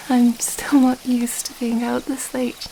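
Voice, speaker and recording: A woman playing an earnest, affectionate, slightly flustered young princess in an intimate ASMR romance roleplay, speaking softly and hesitantly, rambling gently. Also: a little high, level tone, takes it slowly, gravelly, noisy background. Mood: tender, shy.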